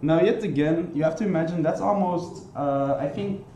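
Young Belgian-accented male speaker, mellow and slightly high, earnest, halting with ums, in a roomy hall with faint hiss. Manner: many ums and restarts, earnest. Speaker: conference speaker. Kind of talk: conference talk. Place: conference hall. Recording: echoey hall with faint noise.

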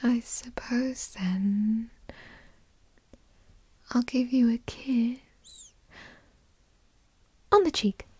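Woman acting a slow, breathy whispering teasing girlfriend. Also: fairly high pitch, a little mellow, no echo, heavily acted. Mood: smug, playful.

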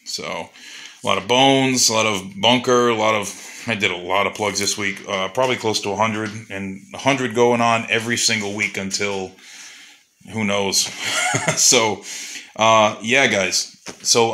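A man's voice: lowish voice, pushed and bright. A man who makes custom lures, talking loosely to his customers in a workshop with lots of fillers. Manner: loose and chatty, many fillers. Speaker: custom lure maker. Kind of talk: casual shop update to customers. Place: indoor workshop.

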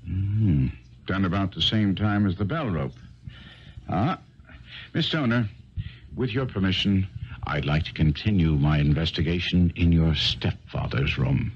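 An actor playing a shrewd, methodical gentleman detective in a 1970s radio drama, musing with hums and probing questions. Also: deep voice, measured pace, breathy edge, full character voice. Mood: probing, intrigued. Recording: dull, noisy 1970s radio recording.

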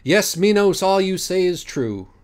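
A man's voice: high voice, animated, unhurried, pitched above the performer's own voice. Man as a dignified, ceremonious woman judge. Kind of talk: audiobook character voice.

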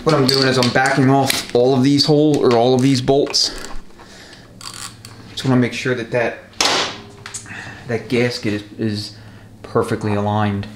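A man's voice: medium pitch, steady tone, unhurried, long pauses. A man explaining a mechanical job step by step in a casual, practical way while he works. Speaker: transmission rebuilder giving a tutorial. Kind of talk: casual hands-on instructional commentary. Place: indoor repair workshop. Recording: echoey workshop, noticeable noise, tool clicks.

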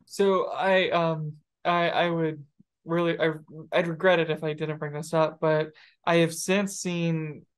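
A man's voice: fairly high pitch, little pitch movement, unhurried, clear, resonant tone. A young man hosting an interview, asking questions hesitantly with many restarts, over a video call from a room with bookshelves. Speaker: interview host.

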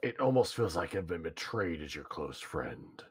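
A man voicing an earnest, concerned young friend who feels hurt, in a halting, dramatic staged reading of a visual novel. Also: deep voice, sing-song, noisy background, voice pushed down.

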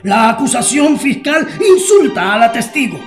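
An actor playing an impassioned, indignant defence lawyer in a radio drama, loud and declamatory. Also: male voice, very high voice, big pitch swings, normal speed. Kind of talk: theatrical radio drama acting. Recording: roomy sound with music behind.

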